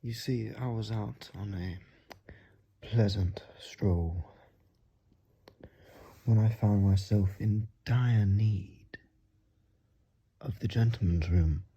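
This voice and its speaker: A man voicing a courteous, ingratiating vampire visitor in an ASMR roleplay, softly and often in a whisper, with polite, old-fashioned, hesitant and flattering speech. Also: fairly low pitch, drawn-out words, long pauses, dull audio, exaggerated voice. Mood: apologetic, polite. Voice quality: soft, breathy, often whispered.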